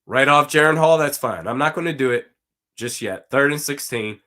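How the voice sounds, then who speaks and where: A man with a slightly raspy, hoarse voice, giving casual live commentary on a football game and reading out viewer comments. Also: medium pitch, normal speed, pushed and bright. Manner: casual, talks to chat, reads comments aloud. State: hoarse from screaming. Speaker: watch party streamer.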